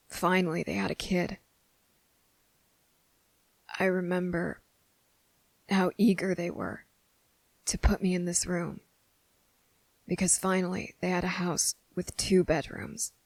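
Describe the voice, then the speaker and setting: A woman voicing a guarded, fed-up young boy in a hushed, halting ASMR roleplay monologue. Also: fairly low pitch, creaky, no echo, low-quality recording. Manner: hushed, halting, pauses mid-thought.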